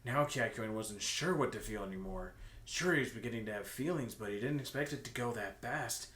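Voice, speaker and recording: A man's voice: medium pitch, brisk, fairly bright tone. Echoey man reading fan fiction casually. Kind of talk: amateur read-aloud with joking asides. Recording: roomy, echoing room sound.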